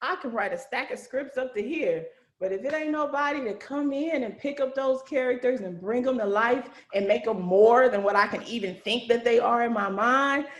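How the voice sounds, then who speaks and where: A woman with a fairly high voice talks casually and effusively on a video call. She tells things in slangy, animated bursts as a host and writer would, and the room around her rings with clear echo. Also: normal speed, hardly any pauses.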